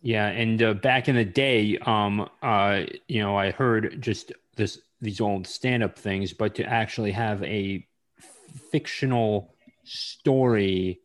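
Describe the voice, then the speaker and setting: A man hosting a hobby podcast interview, speaking haltingly with many fillers and restarts. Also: fairly low pitch. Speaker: podcast host. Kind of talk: casual interview.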